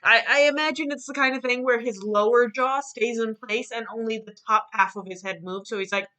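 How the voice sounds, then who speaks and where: A woman's voice: on the high side, steady tone, a little fast, sharp tone. A woman chatting casually as she hosts and retells a silly story, playfully acting out the quoted lines. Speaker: host of a live online dramatic reading and drawing stream.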